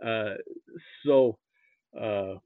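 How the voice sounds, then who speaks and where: A man's voice: lowish voice, extremely slow, no room sound. Podcast guest: male American sports fan, conversational and hesitant, heard over a tinny, dry phone-like connection. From Nebraska, United States. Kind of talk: casual sports talk. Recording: muffled phone-like line.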